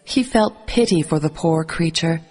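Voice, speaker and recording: Woman narrator, scripted children's tale, lower-pitched voice, music bed. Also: normal speed, darkish tone. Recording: music underneath.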